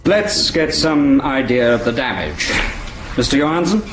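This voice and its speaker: Sardonic, animated male base chief. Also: mid-range voice, unhurried, faint music behind, low-quality recording. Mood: businesslike. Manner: authoritative, dryly sarcastic. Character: brisk, sardonic male Antarctic base chief, firm and unyielding. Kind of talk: naturalistic audio drama acting.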